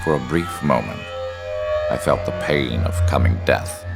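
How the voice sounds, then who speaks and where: A man playing a cold, superhuman video game villain, narrating in a measured, self-important, menacing way with a gravelly voice. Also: over loud music, exaggerated voice. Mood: cold, gloating. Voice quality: very deep, gravelly. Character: cold, superhuman male villain, gloating over rebirth. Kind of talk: scripted cutscene narration.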